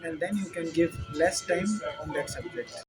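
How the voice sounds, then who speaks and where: A young man from India, a native Hindi speaker with an Indian accent, explaining things informally in an earnest, slightly halting way. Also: fairly high pitch, fairly even intonation, over loud music. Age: young adult. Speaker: dairy technologist answering questions. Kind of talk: informal question-and-answer explanation.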